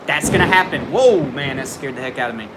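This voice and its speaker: A man's voice: mid-range voice, lively intonation, brisk, background noise. A young man hosting a casual livestream from home, giving frank tough-love advice with lots of fillers, and jumpy at the storm outside. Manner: jumpy, startled by thunder. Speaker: livestream host answering viewer questions. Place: indoors at home near a window during a storm.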